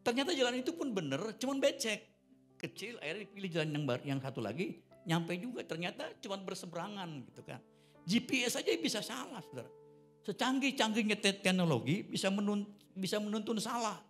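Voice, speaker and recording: An older male pastor in his sixties gives a sermon at a church service with a slightly raised voice. He tells stories in a chatty way and builds to loud, emphatic declarations. A guitar plays softly behind him. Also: sits high, very animated, a little fast. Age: 60s. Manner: conversational, swelling into loud emphatic preaching.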